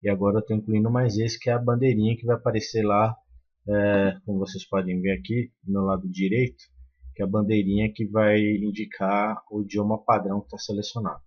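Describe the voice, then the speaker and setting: Husky low-voiced man teaching code. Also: little pitch movement, brisk. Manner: explains step by step with many fillers and restarts. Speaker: programming tutor. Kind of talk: screen-recorded coding tutorial. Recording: muffled lo-fi sound.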